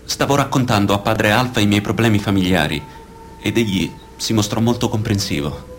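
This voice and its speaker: A man's voice: fairly low pitch, brisk, slight background noise, close to an everyday voice. A man reading scripted reports and testimony in a radio documentary play. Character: male victims recounting teenage abuse by priests.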